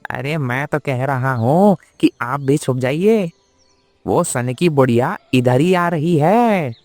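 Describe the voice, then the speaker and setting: A man's voice: mid-range voice, expressive, slow, darkish tone, dry recording, soft background music. A man voicing a cheeky, timid village police constable in a children's cartoon. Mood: nervous. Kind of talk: children's cartoon moral story acting.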